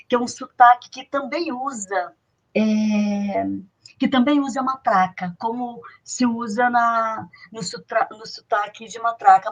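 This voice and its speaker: A woman's voice: on the high side, low-quality recording. A woman guest, explaining a folk tradition in an interview with many fillers and restarts.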